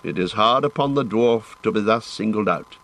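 A man's voice: on the low side, normal speed, fairly bright tone. A man reading an audiobook, voicing a male ranger who leads the company, firm and commanding.

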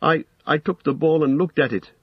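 A man voicing a frightened, pleading young male hobbit in a storybook reading, with a shrill, stammering voice. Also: very animated, a little slow, husky, dry recording, highs cut off, heavily acted. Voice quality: shrill, strained. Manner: stammering, hesitant.

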